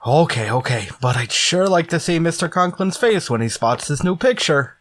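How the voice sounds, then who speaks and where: A man's voice: fairly high pitch, lively intonation, moderate pace, slight background noise, natural voice. A man playing an easygoing, slightly dim teenage schoolboy in an old-time radio sitcom style. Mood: amused.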